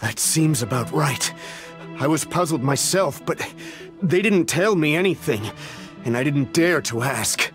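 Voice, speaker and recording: Middle-aged male official, somewhat high voice, anxious, flustered, pleading, earnest, video game character, music behind. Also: lively intonation, a little slow. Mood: anxious, confessing.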